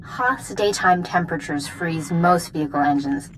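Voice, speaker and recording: Game commander, female actor: composed, earnest briefing delivery, slightly low pitch. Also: moderate pace, background noise, lightly acted. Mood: grave, briefing. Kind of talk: video game voice acting.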